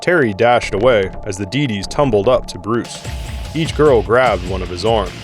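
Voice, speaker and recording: A man's voice: lowish voice, normal speed. A man reading scripted narration for an audio drama. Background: music.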